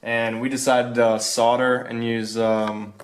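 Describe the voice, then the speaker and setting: A young man presents a student project informally. His delivery is off-the-cuff and laid-back, with hesitant pauses. His voice sits at an average pitch for a man. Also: little pitch movement. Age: young adult.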